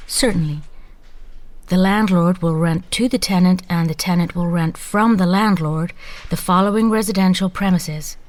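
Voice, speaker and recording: A woman's voice: on the low side, moderate pace, a little mellow, natural voice. A woman playing a prim, obedient bank secretary in an audio drama, with a formal, dutiful delivery.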